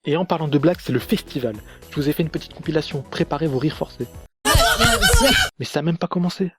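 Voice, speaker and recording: A man's voice: a little high, quick pace, darkish tone, soft background music. A man reading scripted commentary for a video review in a sarcastic, critical manner.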